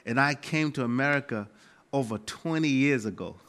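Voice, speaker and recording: A middle-aged man with a Malawian accent preaching a sermon as a pastor, emphatic and deliberately repeating key phrases. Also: medium pitch, fairly bright tone.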